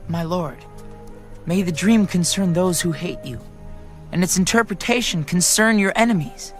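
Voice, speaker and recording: Earnest, deferential male prophet for scripture drama, male actor; high-pitched, formal, grave, light music bed. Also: moderate pace, close to an everyday voice. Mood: earnest, respectful. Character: earnest, respectful male prophet addressing his king. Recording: faint music bed behind.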